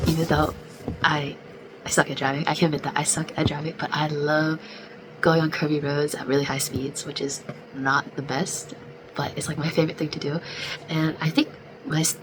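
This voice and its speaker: A young woman in her twenties chatting casually in a vlog, congested and close to losing her voice, filling her talk with "like". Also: deep voice. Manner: chatty, venting, many "like" fillers. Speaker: vlogger. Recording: noisy background.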